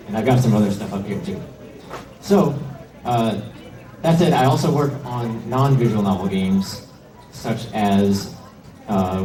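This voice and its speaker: A man's voice: lowish voice, warm tone. A man giving an informal, slightly hesitant slide presentation to an audience as a panel speaker. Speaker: convention panel presenter, indie game developer. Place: indoor convention panel room. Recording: echoey room, distant mic, heavy noise.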